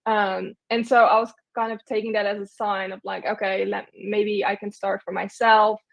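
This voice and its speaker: A woman's voice: mid-range voice, flat delivery, normal speed, creaky. A young woman from the Netherlands, chatting casually as an interview guest, with lots of fillers and restarts. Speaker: guest, agency owner.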